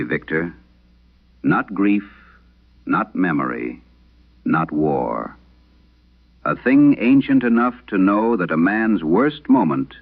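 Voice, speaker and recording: Man acting a deep, gravelly old exorcist priest. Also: narrow, tinny audio, full character voice. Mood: grave, haunted. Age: older. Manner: solemn, deliberate, dramatic. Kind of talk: scripted horror radio story narration.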